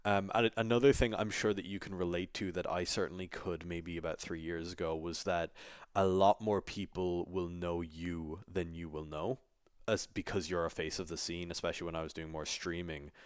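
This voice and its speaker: A man hosting a casual interview, asking questions in a relaxed, friendly way. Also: sits low, moderate pace, clear, resonant tone, no room sound, clean studio sound. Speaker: interviewer, host.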